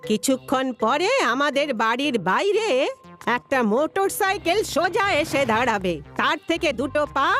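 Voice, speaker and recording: A woman voicing an older grandmother in a cartoon who talks in a slow, dramatic announcer manner, with music underneath. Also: sits high, animated, fairly bright tone. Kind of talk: Bengali cartoon dubbing.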